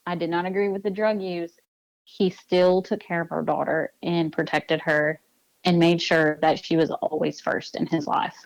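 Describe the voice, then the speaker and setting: Woman, interview guest, slightly low pitch, dry sound, earnest, insistent, personal storytelling. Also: darkish tone, no echo, poor audio. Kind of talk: personal account in a podcast interview.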